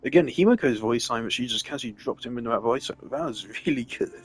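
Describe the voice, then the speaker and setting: A man's voice: mid-range voice, lively intonation, fast, slightly rough. A man giving a casual, opinionated rant on a live stream, emphatic and exasperated in the way he talks.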